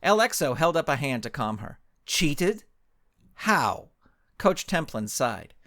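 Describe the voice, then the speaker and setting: A man's voice: medium pitch, sing-song, moderate pace, a bit bright. A man narrating a scripted comic adventure story as its author and reader.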